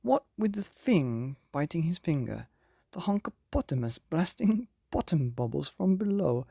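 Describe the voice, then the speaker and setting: Theatrical, slow-paced male bedtime storyteller. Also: a little high, sing-song, no room sound, clean studio sound. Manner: theatrical, drawn-out emphasis, breathy hushed phrases. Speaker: podcast host and storyteller. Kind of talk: animated children's bedtime story narration.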